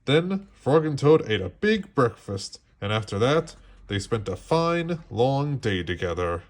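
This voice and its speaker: Slow, animated man reading a storybook. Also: medium pitch. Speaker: storybook reader. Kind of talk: expressive read-aloud storytelling for children.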